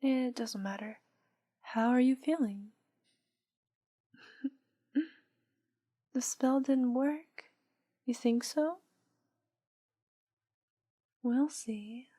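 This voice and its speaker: Slow, soft-toned seductive witch played by a woman. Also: sits high, heavily acted. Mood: coy, teasing. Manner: breathy, teasing, slow and deliberate, often dropping to whispers. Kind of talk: intimate roleplay audio drama, hypnosis ASMR.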